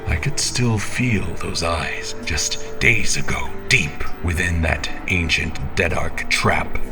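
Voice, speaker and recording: A man's voice: sits low, lively intonation, takes it slowly, over music, full character voice. A man playing an obsessed, menacing, half-mad champion in a theatrical monologue, grandiose and brooding, with whispery breaths. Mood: haunted, intense.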